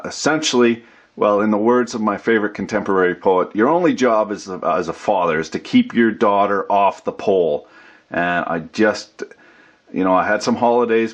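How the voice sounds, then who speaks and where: A middle-aged man hosting a workshop channel, talking casually off the cuff with salty, folksy slang and swearing. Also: low voice. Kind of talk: casual, unscripted channel update.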